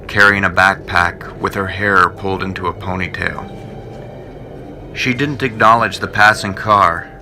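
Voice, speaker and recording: Deep, sharp-voiced man telling ghost stories. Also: gravelly. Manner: measured, dramatic, suspenseful storytelling. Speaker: narrator and host of a paranormal show. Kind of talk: scripted first-person ghost-story narration in old-time radio fashion.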